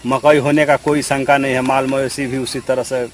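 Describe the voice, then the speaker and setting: A man's voice: medium pitch, little pitch movement, moderate pace, slight background noise. A man, a farmer, speaking plainly outdoors in an interview. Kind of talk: on-site interview.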